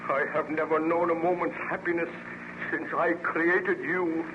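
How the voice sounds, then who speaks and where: Male actor as haunted nobleman, formal and intense, slightly high pitch, vintage dull-sounding radio drama. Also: fairly even intonation, measured pace, natural voice. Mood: bitter hatred. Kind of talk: 1930s-40s radio serial acting. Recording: noisy muffled old radio recording.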